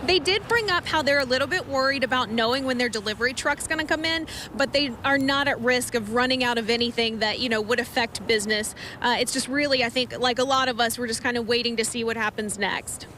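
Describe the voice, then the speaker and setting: A woman's voice: a little high, quick pace, few pauses, pushed and bright. A woman with an American accent reporting live from the field for TV news, outdoors by a road. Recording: outdoor live shot, clear traffic noise. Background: street and vehicle noise.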